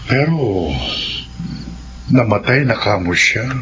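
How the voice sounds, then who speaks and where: A man with a Filipino accent playing a calm, helpful parish priest in a radio drama, speaking in a measured way. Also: on the low side, a little hiss or hum. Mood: calm, probing. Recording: dull sound, night crickets behind.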